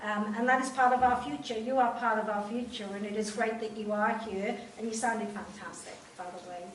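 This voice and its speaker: A woman's voice: on the high side, steady tone, a little fast, a little hiss or hum. A woman with a Welsh accent, a chief executive giving an informal speech to an audience at a volunteer event, with frequent ums and questions put to the listeners. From Wales. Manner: warm, informal speaking with many ums and direct questions to the audience. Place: large room at a volunteer event. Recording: echoey room, voice from far off.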